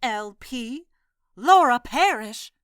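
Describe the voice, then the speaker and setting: Actress as high-voiced, excitable young lady. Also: slow, smooth, no room sound, clean studio sound. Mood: startled. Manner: exclamatory. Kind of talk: radio mystery play acting.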